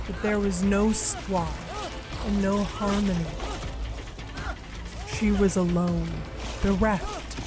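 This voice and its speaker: A woman narrating an audio drama in a slow, dramatic reading style. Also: medium pitch. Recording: narration over music score.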